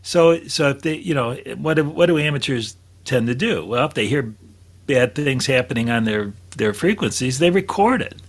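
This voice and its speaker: A man's voice: mid-range voice, lively intonation, normal speed. A man on a podcast panel, talking casually and thinking aloud as he goes. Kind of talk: casual chat.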